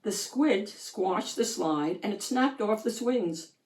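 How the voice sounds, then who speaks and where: A woman reading a children's picture book aloud in an expressive storytelling style. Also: mid-range voice, takes it slowly, echoey room, poor audio.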